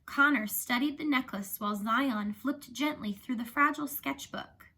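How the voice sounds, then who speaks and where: A woman reads a children's novel aloud in a bright, fairly high voice. Her storytelling is expressive and animated, with the clear delivery of someone reading to young listeners. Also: normal speed. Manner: animated, acts out the dialogue.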